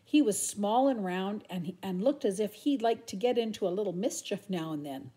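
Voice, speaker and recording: An older woman reads a children's story aloud at home. Her delivery is expressive and animated, with a soft, mellow tone. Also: medium pitch, moderate pace. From Canada. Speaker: storybook reader. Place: room at home.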